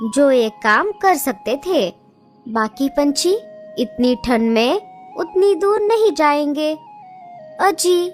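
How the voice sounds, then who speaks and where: A young expectant sparrow pleads with her husband in a very high, light voice. She sounds anxious, and soft cartoon music plays behind her.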